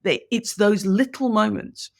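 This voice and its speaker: A woman's voice: medium pitch, measured pace, gravelly. Chatty Irish-born woman with English accent. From Ireland. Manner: enthusiastic and chatty, laughs while explaining. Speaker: guest, business coach. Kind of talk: conversational podcast interview.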